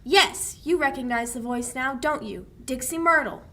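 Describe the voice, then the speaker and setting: A young woman playing a sharp, accusing, challenging woman in a 1940s radio play. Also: high-pitched, normal speed. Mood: taunting. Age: young adult. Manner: biting, challenging.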